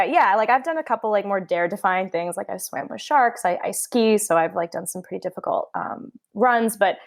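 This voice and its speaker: A young woman chatting as a podcast guest, fond of the filler "like". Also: fairly low pitch. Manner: warm, uses "like" a lot. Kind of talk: casual chat.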